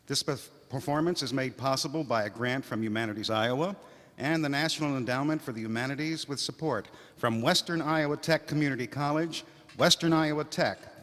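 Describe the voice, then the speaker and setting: A man's voice: mid-range voice, fairly bright tone. A man announces for a radio theatre broadcast in a mid-range voice. He reads formal scripted credits and sponsor notices. The recording has the long reverb of a hall.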